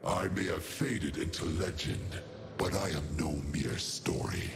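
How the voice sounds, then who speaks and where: A man voicing an ancient, menacing, regal giant scorpion monster for a video game, gravelly and imperious, with monstrous voice processing. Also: low-pitched, steady tone, unhurried, soft background music. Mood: solemn, proud. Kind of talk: dramatic video game voice lines.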